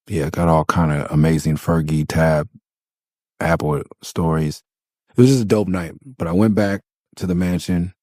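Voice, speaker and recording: A man's voice: deep voice, no echo. A man from Los Angeles with a nasal voice, hosting a podcast and telling loose, profane stories about making his album. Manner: loose, profane, animated retelling with quoted voices. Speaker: podcast host and rapper.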